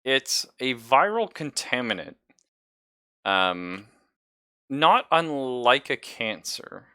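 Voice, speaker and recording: A man narrating a tabletop sci-fi horror game as game master, halting as he thinks aloud. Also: mid-range voice, big pitch swings, bright, forceful tone, clean tone, no room sound, clean studio sound.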